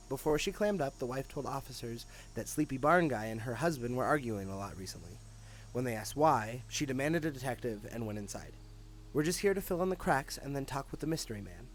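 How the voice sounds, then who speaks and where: A man's voice: on the high side, slight background noise, lightly acted. A man acting a confident, cynical police detective with a sardonic, matter-of-fact manner in an audio drama.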